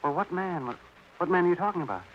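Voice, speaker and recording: An actor playing a supercilious, fussy doctor, pompous and stammering, in an old radio crime drama. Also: male voice, sits high, lively intonation, quick pace. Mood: flustered. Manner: pompous, stammering when cornered. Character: evasive, fussy, supercilious male doctor hiding his nerves. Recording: muffled, hissy old radio broadcast.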